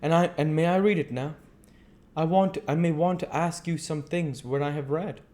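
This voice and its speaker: Man putting on a high-pitched courtly old doctor. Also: steady tone, moderate pace, a little mellow, natural voice. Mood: grateful, effusive. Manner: effusive, courtly, stilted phrasing. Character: courtly, earnest older male foreign doctor, effusively polite.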